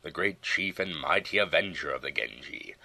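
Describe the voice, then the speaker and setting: A man with a low, forceful voice narrates a historical tale. His delivery is theatrical and solemn, with deliberate phrasing suited to an audiobook of old battles and legends. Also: animated, gravelly. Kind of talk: dramatic scripted storytelling narration of a historical tale.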